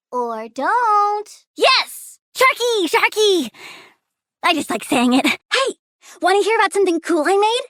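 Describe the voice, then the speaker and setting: Game villainess: very high, girlish, wildly swinging voice, bubbly plant-obsessed brat with a menacing, sardonic streak. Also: no echo, clean studio sound, full character voice. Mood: gleeful, excited. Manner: childlike, gleeful, sardonic, swinging between chirpy and deadpan. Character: bubbly, bratty, menacing young plant-girl supervillain. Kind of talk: video game character voice lines.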